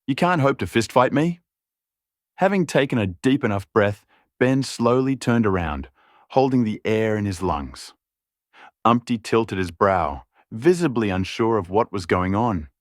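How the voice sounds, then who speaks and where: A man's voice: lowish voice, animated, measured pace, no room sound, clean studio sound. A man reading scripted fantasy fiction as a storyteller, in a dramatic narration style.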